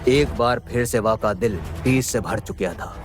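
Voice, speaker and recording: A man's voice: mid-range voice, measured pace. Haryanvi man narrating drama over music. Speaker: narrator of an audio drama series. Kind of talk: dramatic scripted story narration. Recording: music under the voice. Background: background music.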